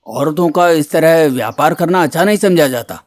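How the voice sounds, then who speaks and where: A man's voice: fairly high pitch, normal speed, dry recording, natural voice. Stern, authoritative village headman acted by a man. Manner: authoritative, admonishing. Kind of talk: Hindi moral-story cartoon voice acting.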